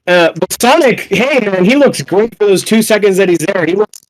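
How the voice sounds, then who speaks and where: A man's voice: high voice, lively intonation, normal speed, poor audio. A young man chatting casually as a podcast panelist, slangy, sweary and self-deprecating. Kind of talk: casual fan chat.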